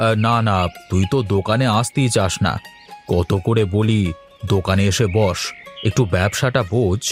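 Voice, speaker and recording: A man's voice: lowish voice, normal speed, faint music behind, close to an everyday voice. A man voicing an earnest, obliging elder brother who keeps a village shop in a cartoon. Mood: mild, curious. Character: dutiful grown elder brother, a village shopkeeper. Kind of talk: Bengali cartoon dub acting.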